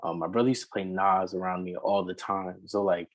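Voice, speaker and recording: A man, a rapper and singer, talking casually in an interview, relaxed and rambling with many fillers. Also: low-pitched, brisk.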